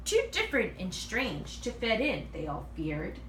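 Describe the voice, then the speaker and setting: A woman reads a children's picture book aloud in an expressive, animated way, acting out the dialogue. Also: mid-range voice, measured pace. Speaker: read-aloud reader. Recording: roomy, distant mic, faint noise.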